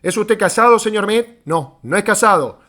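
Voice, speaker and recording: A man's voice: high-pitched, lively intonation, moderate pace, pushed and bright, pitched above the performer's own voice. A man voicing a cold, suspicious robotic police car in a science fiction story, barking curt questions and orders with a metallic edge. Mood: cold interrogation. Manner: curt, commanding, interrogating.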